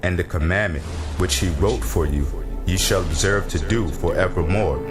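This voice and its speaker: Male narrator for dramatized scripture: low voice, solemn and declamatory, clear music bed underneath. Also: normal speed, reverberant.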